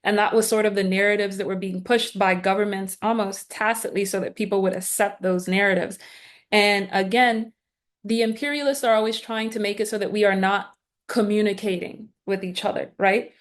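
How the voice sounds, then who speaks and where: A woman from Guyana speaks as a guest on a call, mid-pitched and measured. She explains her points in an earnest, steady way. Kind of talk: lecture-style answer on a video call.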